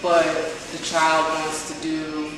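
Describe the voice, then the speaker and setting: A woman's voice: low-pitched, completely flat delivery, slow pace. A woman interviewer asking casual, leading questions and chiming in often. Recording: echoey room, far mic, noisy.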